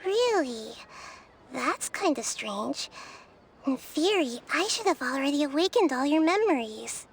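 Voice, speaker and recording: Gentle childlike deity girl for a game: very high, soft, thoughtful, melodic range. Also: big pitch swings, slight background noise, exaggerated voice. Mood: calm, pondering. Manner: thoughtful, measured. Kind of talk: video game voice acting. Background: game music.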